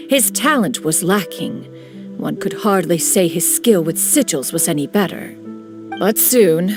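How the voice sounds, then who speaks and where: A woman voicing an ancient crane spirit in a woman's form, who speaks in a stately, archaic way and calls herself "one". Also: mid-range voice. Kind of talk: video game character dialogue. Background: game music.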